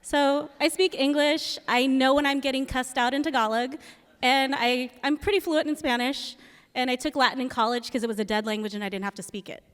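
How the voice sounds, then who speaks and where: A woman's voice: high voice, steady tone, brisk, fairly bright tone, slight background noise. A woman in her forties from California, a PE teacher, answering stage questions in a dry, matter-of-fact way. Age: 40s. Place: school assembly hall. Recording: long hall reverb.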